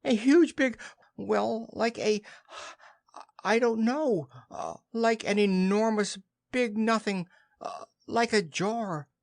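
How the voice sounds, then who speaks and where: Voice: mid-range voice, slow pace, gravelly, voice pushed up. A storybook reader voicing a small, timid male piglet who is anxious, breathless and stammering. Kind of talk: children's storybook character reading.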